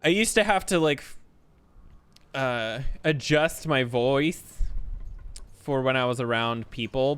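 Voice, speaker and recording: A man's voice: medium pitch, fairly bright tone, clear, resonant tone. A man in his twenties talks his way through a game stream in loose, casual chat. His voice moves up and down a lot and sits at an ordinary middle height. He drifts from topic to topic, drops swear words freely and turns jokes on himself. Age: 20s. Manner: rambling, swears freely, self-mocking.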